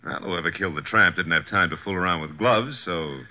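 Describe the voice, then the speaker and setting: An actor playing a breezy, tough detective's assistant with a wry, slangy, flippant manner in 1950s radio drama style. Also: male voice, low voice, fairly quick. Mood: sardonic. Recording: dull, faintly noisy old radio recording.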